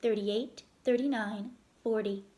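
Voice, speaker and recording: A woman's voice: mid-range voice, fairly even intonation, a little slow, warm tone, close to an everyday voice. A woman voicing a cautious, firm father who leads a climbing school, instructing in a children's storybook. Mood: measured. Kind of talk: children's storybook read-aloud.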